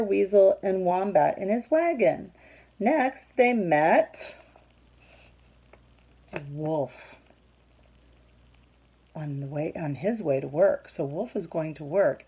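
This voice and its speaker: Slow, mellow woman reading to young children. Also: mid-range voice, husky. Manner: expressive, prompting, teacherly. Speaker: picture book reader for young children. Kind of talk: children's read-aloud with questions to the listeners.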